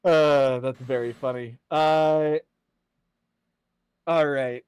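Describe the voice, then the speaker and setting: A man's voice: medium pitch, fairly even intonation, extremely slow, darkish tone, smooth, dry recording. A man speaking casually as game master of a tabletop role-playing session.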